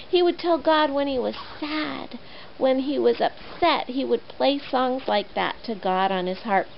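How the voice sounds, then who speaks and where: A woman telling a Bible story to children in an animated, expressive way, on a muffled recording with faint noise. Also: a little high.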